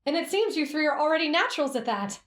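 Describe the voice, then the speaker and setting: A woman's voice: high voice, expressive, fast, a bit bright, reverberant. A woman playing a young etiquette teacher in an ASMR roleplay, instructive and teasing. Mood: warm.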